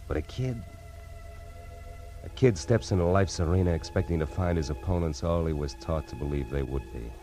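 An actor playing a world-weary, hard-boiled private detective with an American accent, speaking deliberately in 1940s radio noir style. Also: male voice, low-pitched, mellow, faint music behind, close to an everyday voice. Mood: reflective.

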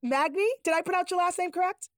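Exuberant high-voiced woman podcast host. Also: fast talker, very noisy. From Boston, United States. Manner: exuberant, encouraging. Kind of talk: podcast hosting.

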